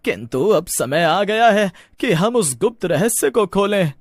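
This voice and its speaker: A village headman from a horror story voiced by a man. He sounds stern and measured, laying down orders with authority. The voice is a bit husky and dryly recorded. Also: high voice, very animated, no room sound, studio quality, voice pushed up, full character voice. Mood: firm.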